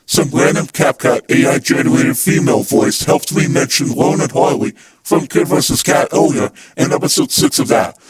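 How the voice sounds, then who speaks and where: A man's voice: mid-range voice, poor audio. A man hosting a fan podcast, reading scripted narration. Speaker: podcast host.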